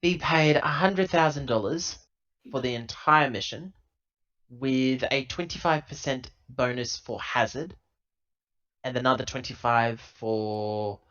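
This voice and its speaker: A man playing a tabletop role-playing game, improvising dialogue and narration in a halting, self-correcting, emphatic way on a lo-fi recording. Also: medium pitch, a bit bright. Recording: dull lo-fi laptop recording.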